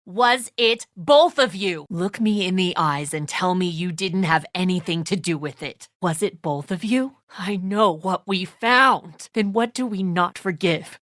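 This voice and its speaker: A woman's voice: lowish voice, measured pace, hardly any pauses, fairly bright tone, no echo, studio quality. A woman acting an embattled, suspicious, volatile survivor in a zombie video game, with a confrontational, accusing manner. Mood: accusing, bitter.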